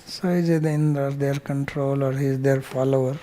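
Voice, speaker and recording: A man's voice: mid-range voice, fairly even intonation, fairly quick, dark tone, slight background noise. A man speaking as a spiritual teacher, explaining scripture verse by verse in a plain-spoken way.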